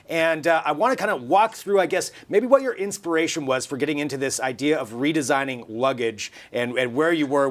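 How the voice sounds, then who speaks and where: A man's voice: medium pitch, fairly bright tone. A man hosting a live online show, presenting and interviewing in a chatty, conversational, unscripted way.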